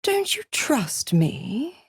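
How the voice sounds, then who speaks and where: A woman's voice: high voice, wildly swooping pitch, very slow, husky, no room sound, studio quality, heavily acted. An actress playing a seductive, scheming female devil in a video game, mocking and purring with condescending endearments. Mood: smug, taunting. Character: seductive, scheming, gloating female devil who makes pacts. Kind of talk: theatrical game character dialogue.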